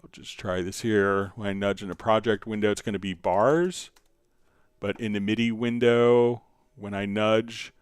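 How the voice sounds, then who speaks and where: Male tutorial host, resonant lower voice, unscripted and halting, recorded dry. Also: flat delivery, clear, resonant tone, no room sound. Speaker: livestream host answering viewer questions. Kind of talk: unscripted software tutorial, casual explaining.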